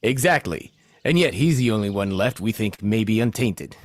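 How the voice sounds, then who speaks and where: Cocky low-voiced zombie squad leader, acted by a man. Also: animated, moderate pace, no room sound, clean studio sound, close to an everyday voice. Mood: matter-of-fact. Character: cocky, chatty male zombie extraction team leader. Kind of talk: comedic audio play acting.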